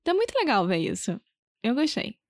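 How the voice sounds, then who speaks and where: A woman from Brazil co-hosting a casual podcast, chatty and quick to laugh. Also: a little high, wildly swooping pitch, dry recording, studio quality. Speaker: podcast co-host. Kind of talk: casual language podcast chat.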